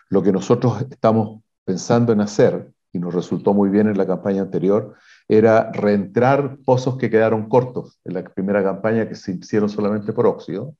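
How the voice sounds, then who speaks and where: A man, a mining exploration executive, giving measured technical answers in an interview, pausing often with fillers and restarts. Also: fairly low pitch, warm tone, highs cut off. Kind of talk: interview answers on a video call.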